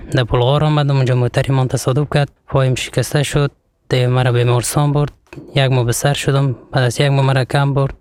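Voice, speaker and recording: Young Afghan man, Afghan Dari accent, rapid and flat, halting personal testimony. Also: medium pitch, smooth, dry recording. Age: young adult. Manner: halting, plain sentences with restarts. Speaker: interviewee.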